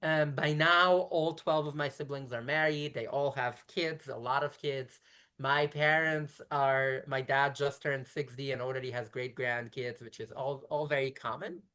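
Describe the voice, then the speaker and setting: A woman in her thirties from Brooklyn whose first language is Yiddish, speaking as a rabbi in a conversational interview and explaining at length in quick, self-correcting runs. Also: a bass voice, steady tone, fairly bright tone. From Brooklyn, New York. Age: 30s. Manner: talks quickly in long explanatory runs with frequent restarts.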